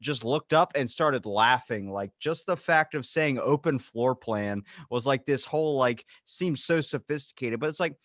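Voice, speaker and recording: A man's voice: mid-range voice, animated, normal speed, few pauses, a bit bright, dry recording, studio quality. A man hosting a casual podcast chat, loose and profane, telling stories with quoted back-and-forth. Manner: loose, swearing, tells stories with quoted dialogue. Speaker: podcast host.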